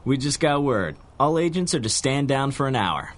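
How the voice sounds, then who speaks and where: A man playing a gruff, knowing agent who talks down to a kid, wry and conspiratorial, in a pulp radio drama. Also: medium pitch, very animated, moderate pace, lightly acted. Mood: wry, firm. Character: gruff, knowing older tough-guy agent mentoring a kid. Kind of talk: 1930s pulp radio acting.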